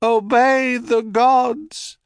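A man's voice: very high-pitched, little pitch movement, extremely slow, clear, resonant tone, dry recording, clean studio sound, pitched above the performer's own voice, full character voice. A man voicing a frightened young female nymph who stammers. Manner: stammering, timid.

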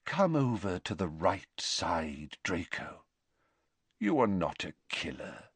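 A man voicing an elderly male wizard headmaster, speaking quietly and with measured courtesy. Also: low-pitched, big pitch swings, breathy edge, dry recording, full character voice. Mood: calm.

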